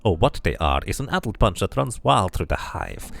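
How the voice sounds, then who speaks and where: A man's voice: deep voice, big pitch swings, normal speed, creaky, heavily acted. A man voicing a scarred tiefling woman in a fantasy game, wild, snappish and grieving. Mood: distraught, bitter.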